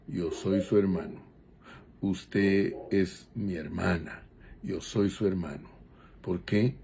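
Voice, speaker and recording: A man preaching a Bible teaching sermon in a deliberate, measured way, pausing between short phrases. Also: sits low, mellow, slightly rough.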